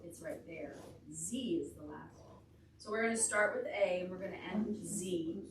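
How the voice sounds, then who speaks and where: A woman's voice: on the low side, moderate pace. A woman leading a preschool storytime, encouraging small children with praise and simple instructions. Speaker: children's storytime leader at a public library. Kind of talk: preschool storytime teaching, alphabet and counting songs. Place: indoor room at a library. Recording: echoey room, distant mic, clear background noise.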